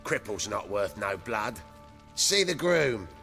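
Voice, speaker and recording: Cocky thug soldier, sharp and swooping. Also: male voice, lowish voice, big pitch swings, slow, soft background music, full character voice. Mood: grudging, sneering. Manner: swaggering, sneering. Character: brutish, cocky soldier left in command, thuggish and scornful. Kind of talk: game cutscene acting.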